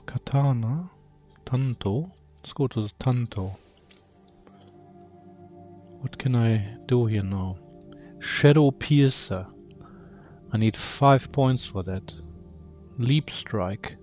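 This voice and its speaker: A man's voice: mid-range voice, takes it slowly, long pauses, a little mellow, faint music behind. A man giving casual gameplay commentary, musing aloud, reading menu text and asking himself questions. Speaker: video game player and channel host.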